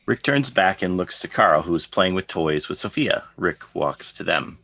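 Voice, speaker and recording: A man's voice: deep voice, lively intonation, moderate pace, creaky, a bit bright. A man narrating stage directions for an online table read of a comic, in a scripted style. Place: video call. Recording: online video call.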